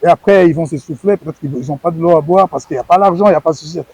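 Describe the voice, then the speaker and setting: A man's voice: a little high, racing, darkish tone, slightly rough, dry recording. A middle-aged man from Congo, a former wrestler, answering interview questions earnestly and often repeating words as he searches for phrasing.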